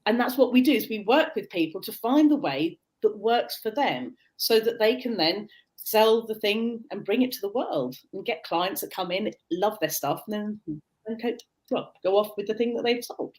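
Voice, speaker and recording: British woman, mid-pitch, earnest explainer, conversational, video-call sound. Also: expressive, moderate pace, a little mellow, rough recording. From Britain. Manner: earnest, explanatory, frequent restarts. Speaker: guest, neurodiversity coach. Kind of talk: conversational interview answers.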